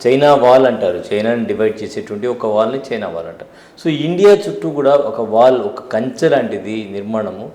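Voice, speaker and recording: A man asks questions in an interviewer's style. His voice sits fairly low, and the room around it is clearly echoey. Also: expressive, a little fast, a little mellow. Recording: roomy, echoing indoor sound.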